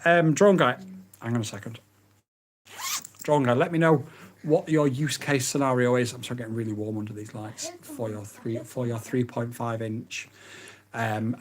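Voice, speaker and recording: A man with a croaky, hoarse voice, hosting a casual livestream and chatting excitably with viewers. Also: medium pitch. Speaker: livestream host.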